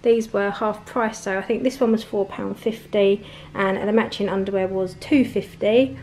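A woman's voice: mid-range voice, steady tone, normal speed, a little mellow, a little hiss or hum, poor audio. A woman, chatting casually through a shopping haul from home, with frequent laughs. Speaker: vlogger. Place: home conservatory, indoors.

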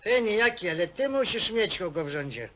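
Ostry, szyderczy głos robotnika. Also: male voice, high-pitched, big pitch swings, a little fast, pushed and bright, full character voice. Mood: scornful. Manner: jeering, colloquial. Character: mocking, bullying worker in a men's hostel. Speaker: film actor. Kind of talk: naturalistic film drama dialogue. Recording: noisy old film soundtrack.

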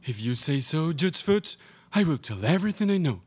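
A man's voice: fairly high pitch, sing-song, normal speed, darkish tone, slightly rough. A young man playing a hesitant, apologetic priest in a live student radio play. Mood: reluctant, apologetic. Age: young adult. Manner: hesitant, pained. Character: reluctant, apologetic small-town priest. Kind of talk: student radio theatre acting. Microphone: stage microphone. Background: acoustic guitar.

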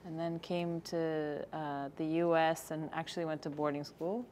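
Thirtysomething woman filmmaker, low voice, talks it through kinda haltingly, light room hum. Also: steady tone, darkish tone. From Egypt. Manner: thoughtful, slightly halting storytelling with restarts. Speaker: guest filmmaker. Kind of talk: interview answers. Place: studio set with screens behind.

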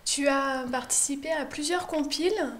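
A woman's voice: high-pitched, normal speed, fairly bright tone, slight background noise. A woman presenter interviewing a guest, asking short questions and thanking her. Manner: friendly, short prompts and thanks. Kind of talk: casual interview. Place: living room by a piano, indoors. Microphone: handheld mic.

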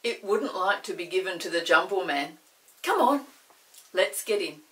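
A woman's voice: on the low side, expressive, moderate pace, a bit bright, far from the mic, rough recording. A woman voicing a bossy, stern little pixie in a children's storybook, scolding and emphatic. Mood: satisfied, urging.

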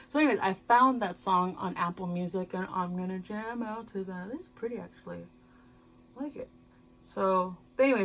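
A woman's voice: mid-range voice, a bit bright. A young woman from Houston, chatting in a loose, rambling way while doing her makeup in a small bedroom, talking to a camera at desk distance. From Houston, Texas. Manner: rambling, loose, swears casually, self-mocking. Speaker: beauty vlogger. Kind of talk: casual chatty get-ready-with-me. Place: small decorated bedroom, indoors.

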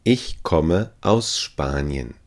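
A man's voice: very deep voice, animated, drawn-out words. A man teaching language phrases, saying each one three times carefully and evenly. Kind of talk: slow repeated phrase drill.

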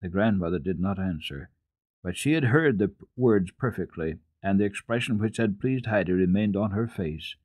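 Male children's radio narrator, lowish pitch, close dry sound, dramatic storybook reading. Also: lively intonation, moderate pace, a little mellow, no room sound.

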